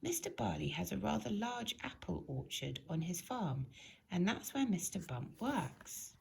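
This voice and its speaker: A woman narrates a children's storybook with a very animated, swinging pitch. Her voice sits a little low for a woman and has a creaky, gravelly edge. She tells it expressively, putting clear emphasis on key words. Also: a little slow, slight background noise. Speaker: storybook reader.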